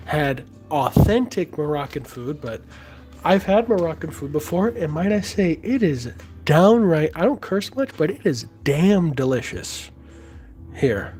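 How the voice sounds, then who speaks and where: Hoarse, slow-talking man streaming. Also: on the high side. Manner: halting, many fillers, answers chat as he works. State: hoarse, raspy voice after being sick. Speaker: livestreamer sculpting and chatting with viewers. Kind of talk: casual livestream chat. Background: music playing softly.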